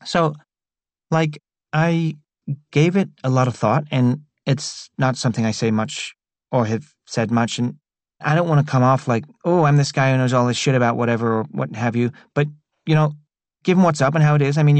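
A man's voice: fairly high pitch, big pitch swings, no room sound, pitched above the performer's own voice. Man putting on a brash, cussing young guy. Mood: halting. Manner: profane, rambling, loud and quick. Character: brash foul-mouthed younger man, excitable.

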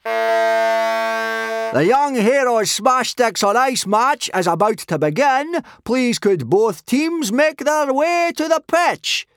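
A man voicing a huge, gruff Viking referee in a children's audiobook, roaring his words in a drawn-out shout. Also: extremely high pitch, expressive, dry recording, clean studio sound, pitched above the performer's own voice, full character voice. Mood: booming announcement.

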